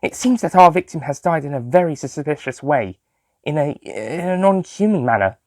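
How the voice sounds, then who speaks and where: A man voicing a hesitant police detective inspector, halting and uneasy as he reports over the phone. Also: a little high, animated, darkish tone, no room sound, studio quality, voice pushed up, lightly acted.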